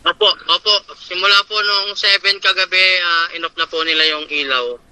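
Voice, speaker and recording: A man's voice: high-pitched, steady tone, normal speed, bright, forceful tone, clear, resonant tone, dry recording, poor audio. A man with a Filipino accent giving polite, respectful eyewitness answers in a live interview over a remote call. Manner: respectful, deferential.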